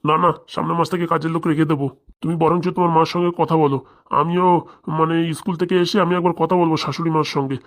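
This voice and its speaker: A man's voice: on the high side, flat delivery, fast, lightly acted. A man voicing a caring, mildly bossy head schoolmaster in a comedy cartoon, fretful and instructing.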